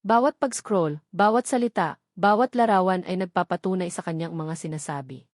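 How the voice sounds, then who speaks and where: A woman's voice: fairly low pitch, normal speed, dry recording, studio quality. A woman with a Filipino accent reading a scripted, dramatic romance story as narrator.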